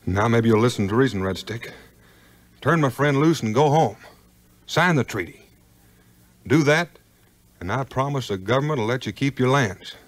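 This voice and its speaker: A man's voice: medium pitch, lively intonation, moderate pace, pauses often, natural voice. An actor playing an easygoing, honest frontiersman with a folksy Southern US drawl in a 1950s record drama. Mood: firm, earnest. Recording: 1950s vinyl record, music behind.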